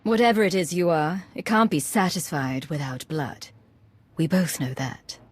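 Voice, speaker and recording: A woman's voice: deep voice, moderate pace, slightly rough. An actress playing a composed, determined female commander in a video game, level and direct. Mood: wary, firm.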